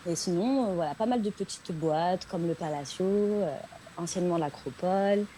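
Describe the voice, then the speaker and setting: A woman's voice: lowish voice, normal speed, dark tone, slight background noise, poor audio. A woman from France, a singer chatting casually as an interview guest and repeating words for emphasis. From France, Paris region.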